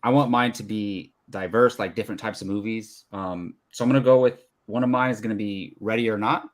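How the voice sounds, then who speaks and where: Low-pitched man in casual chat. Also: fairly even intonation, brisk. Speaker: panelist on a movie draft show.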